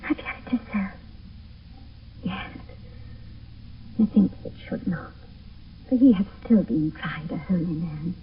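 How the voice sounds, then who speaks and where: A woman's voice: fairly low pitch, lively intonation, a little slow, pauses often, slight background noise. An actress playing a frightened young noblewoman in an intense, theatrical 1970s radio Shakespeare style. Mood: fearful. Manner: intense, theatrical verse delivery. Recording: thin phone-like old radio, distant mic.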